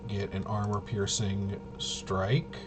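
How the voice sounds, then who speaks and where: A man's voice: fairly low pitch, little pitch movement, slow pace, music in the background. A man casually walking through tabletop gameplay, thinking aloud and hesitantly muttering to himself as he works things out. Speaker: solo tabletop RPG player and presenter.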